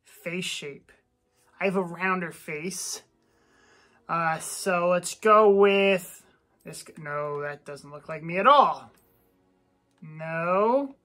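A man's voice: high-pitched, takes it slowly, long pauses, pushed and bright, heavily acted. A man playing a boastful, touchy young gamer who talks cocky and gets defensive when teased. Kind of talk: comedy gameplay sketch.

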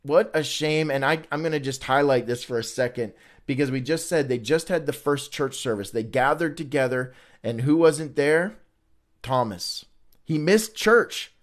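A man's voice: mid-range voice, moderate pace. A man in his forties hosting an informal Bible read-along, talking conversationally and answering his own rhetorical questions. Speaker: Bible study host and teacher.

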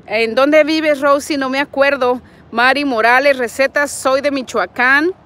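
A woman's voice: sits high, steady tone, unhurried, fairly bright tone. A woman in her forties from Nayarit with a Mexican accent, chatting affectionately on a livestream and reading viewers' comments, recorded outdoors on a phone with an earbud mic. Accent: Mexican Spanish. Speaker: vlogger hosting a livestream. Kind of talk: casual live chat, greeting viewers. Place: outdoors in a parking lot and a street. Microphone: wired earbud mic, phone at arm's length.

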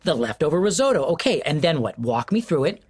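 A man's voice: a little high, big pitch swings, fast talker, a little mellow, slightly rough. An actor playing a pragmatic, patient husband who talks his wife down in an audio drama. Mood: methodical. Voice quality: soothing, patient, stammers on restarts. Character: pragmatic, reassuring husband, a claims adjuster. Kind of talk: scripted radio drama acting.

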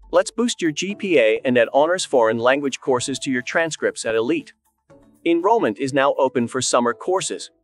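A man in his thirties, a school presenter, giving a polished scripted promotional talk to camera in a studio. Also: medium pitch. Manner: polished, even delivery to camera. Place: studio, voice-over on graphics.

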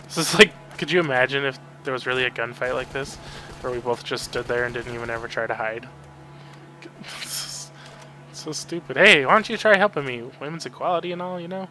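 A man's voice: mid-range voice, a little fast, sharp tone, a little hiss or hum. A man giving casual gameplay commentary, reacting with grumbling and swearing as he plays. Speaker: video game player.

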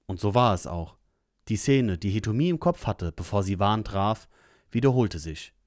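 A man's voice: lowish voice, animated, moderate pace, a little mellow, dry recording, studio quality. A man narrating an audio drama in a scripted storytelling style.

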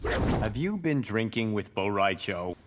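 An actor playing an earnest, disciplined young warrior in a video game, stern and admonishing, over heavy noise. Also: male voice, lowish voice, big pitch swings, a little slow. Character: earnest, disciplined young male chosen-one martial-arts warrior. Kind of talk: video game fight-intro dialogue.

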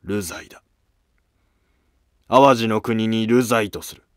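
A man's voice: on the low side, steady tone, slow, clear, resonant tone, no echo, clean studio sound. A man playing a stern, sorrowful emperor of ancient Japan, speaking in solemn, commanding archaic phrases for a history drama.

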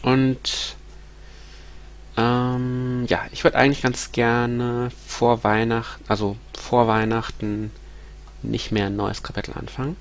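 A man's voice: medium pitch, completely flat delivery, takes it slowly. Man with a stuffy cold lecturing. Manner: hesitant, many ums and restarts. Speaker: university lecturer. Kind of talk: recorded lecture with slides. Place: small room at home.

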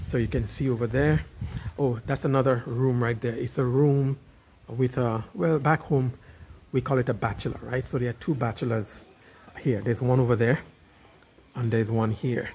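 A man's voice: mid-range voice, dark tone, slight background noise. Chatty man touring his new house. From Caribbean. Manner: chatty, keeps addressing viewers as guys. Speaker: vlogger giving a house tour. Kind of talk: casual walking house tour. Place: house and yard, indoors and out. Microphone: handheld phone camera, filming while walking.

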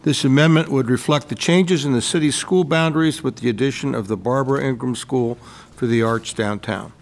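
A man's voice: medium pitch, brisk, vocal fry, a little hiss or hum. A man on a city council formally reading a motion aloud. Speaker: council member.

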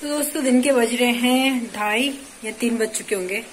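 A woman's voice: on the high side, normal speed, a little hiss or hum, rough recording. A young woman vlogging casually outdoors on a phone, chatting to the camera about what she is doing. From India. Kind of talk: casual vlog chat. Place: outdoors at home and in a field.

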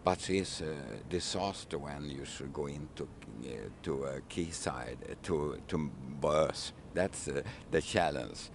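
An older man with a very deep voice speaks slowly, searching for his words in broken English. He is interviewed outdoors at a waterfront, talking into a handheld mic, with faint outdoor noise behind him. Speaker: ship captain. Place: outdoors on a wooden ship at a city waterfront. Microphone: handheld mic held by interviewer.

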